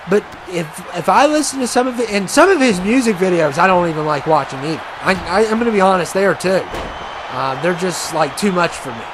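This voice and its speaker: A man casually commentating a wrestling video game match, rambling and chatty with many fillers, and he says he has a loud voice. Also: high voice, noisy background. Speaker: gaming commentator.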